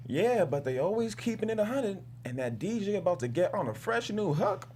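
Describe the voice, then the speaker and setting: A man's voice: high voice, big pitch swings, fairly quick, darkish tone, a little hiss or hum. A man voicing a young, slangy, streetwise party fly who loves his dumpster home, in a comedy table read. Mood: laid-back, defensive.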